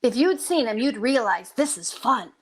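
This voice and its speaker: A meddlesome young wife from a classic radio comedy, played by an actress. Her voice is high and swoops widely as she turns coy and teasing, always scheming to make a match in a theatrical way. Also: lively intonation, moderate pace. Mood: gleeful. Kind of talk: old-time radio sitcom acting.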